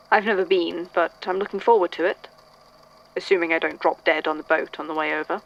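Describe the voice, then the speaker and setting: A woman's voice: mid-range voice, muffled recording, lightly acted. A woman playing an archivist in a fan audio drama, reading old supernatural statements and thinking aloud. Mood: weary, matter-of-fact.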